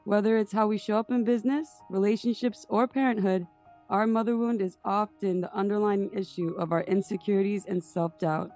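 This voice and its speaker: Mellow-voiced woman podcast host. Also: mid-range voice, steady tone, fairly quick, faint music behind. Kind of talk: scripted introduction and interview questions.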